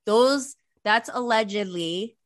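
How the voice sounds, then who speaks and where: A woman's voice: fairly high pitch, takes it slowly. A woman of about forty co-hosting a casual reality TV recap, gossipy and prone to rambling tangents. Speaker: podcast co-host.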